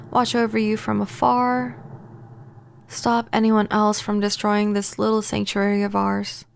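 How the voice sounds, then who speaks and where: A woman playing a pining, possessive vampire neighbor in a soft-spoken, intimate ASMR roleplay, gentle and halting. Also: mid-range voice, gravelly. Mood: wistful, protective.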